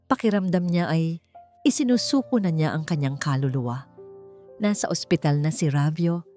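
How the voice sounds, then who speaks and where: A woman's voice: fairly low pitch, a little mellow, dry recording. A woman reading a scripted, dramatic romance story as its narrator.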